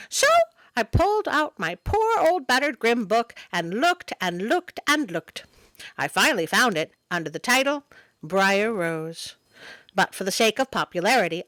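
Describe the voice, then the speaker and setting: A woman's voice: medium pitch, a bit bright, no echo. A woman hosting a story show, reading a tale aloud with playful, wry asides.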